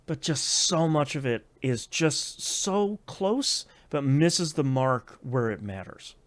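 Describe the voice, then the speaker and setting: A man's voice: fairly high pitch, expressive, slow. A man co-hosting a video game podcast, talking casually with lots of fillers and restarts. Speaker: podcast co-host. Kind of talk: casual chat.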